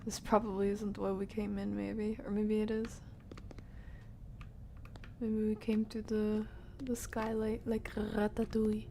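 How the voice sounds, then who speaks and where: A woman's voice: medium pitch, completely flat delivery, a little slow, pauses often, dark tone, slight background noise. A woman streamer giving casual live gameplay commentary, chatting to herself and her viewers in slangy asides. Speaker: video game streamer.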